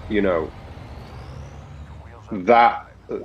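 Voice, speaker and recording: Deep-voiced man, thoughtful and halting. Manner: thoughtful, many restarts and fillers. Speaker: voice actor guest. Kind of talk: reflective interview talk. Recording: muffled lo-fi audio.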